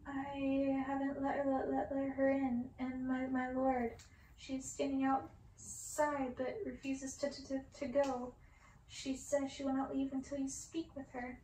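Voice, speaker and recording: A woman's voice: high voice, monotone, a little slow, dark tone, reverberant, recorded from a distance, slight background noise, poor audio. A woman voicing a nervous, stuttering, deferential male castle porter in an ASMR storybook reading. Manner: stammering, deferential.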